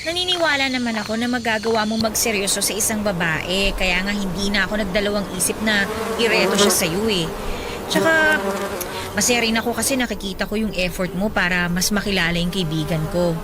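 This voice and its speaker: A woman's voice: mid-range voice, brisk, heavy background noise, natural voice. An actress playing a frank young woman who is a matchmaking best friend, with a sassy, bantering manner in a radio drama. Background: music.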